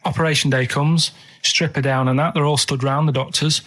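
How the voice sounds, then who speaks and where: A man's voice: fairly high pitch, fairly even intonation, fairly quick, fairly bright tone. An English man co-hosting a radio show, rambling in an earnest, matter-of-fact, colloquial way. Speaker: radio show co-host telling odd stories. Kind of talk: rambling casual chat. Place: radio studio.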